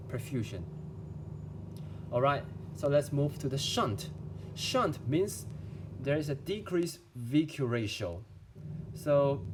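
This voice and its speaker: A man's voice: medium pitch, noisy background. Chinese-accented young man explaining physiology. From China. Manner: careful, halting, asks and answers his own questions. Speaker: medical student. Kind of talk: lecture-style explainer video.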